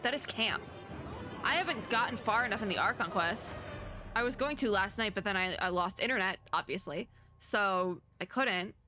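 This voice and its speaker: A woman's voice: medium pitch, moderate pace. A young woman doing casual reaction commentary, chatty and informal, thinking aloud as she goes. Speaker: VTuber reacting to game trailers. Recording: music in the background.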